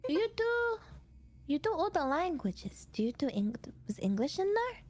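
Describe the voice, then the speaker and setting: A woman's voice: sits high, sing-song, normal speed, darkish tone. A woman with a cutesy, theatrical, teasing manner, bantering casually on a livestream and dropping in playful exclamations. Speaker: VTuber collab partner and streamer.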